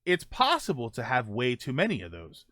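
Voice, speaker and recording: A man's voice: medium pitch, huge pitch swings, fairly bright tone, no room sound, studio quality. A man hosting a gaming livestream, talking casually and answering viewer comments, often rephrasing his points as he goes. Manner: halting, circles back and rephrases points.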